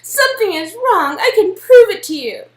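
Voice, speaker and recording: A woman performs a distraught, lovesick young woman from a story game. Her voice is extremely high and she presses every point with insistent emphasis. The room adds a noticeable echo. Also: sing-song, moderate pace. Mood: desperate, insistent. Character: distraught, frantic young woman obsessed with her vanished boyfriend. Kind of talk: amateur dramatic reading of game dialogue.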